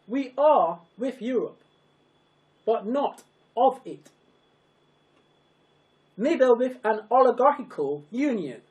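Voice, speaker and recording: A narrator delivering a scripted public address in solemn, emphatic, declamatory style, breaking sentences into short, weighted phrases. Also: medium pitch.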